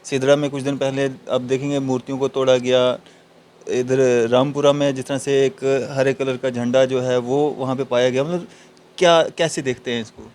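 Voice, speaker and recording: A man's voice: medium pitch, flat delivery, normal speed, darkish tone. A man asks long, unscripted news interview questions, building them from repeated phrases.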